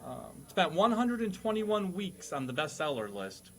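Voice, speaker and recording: Higher-voiced man hosting a comedy quiz. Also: big pitch swings, normal speed, a bit bright. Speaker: quiz host. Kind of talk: comedy panel quiz banter.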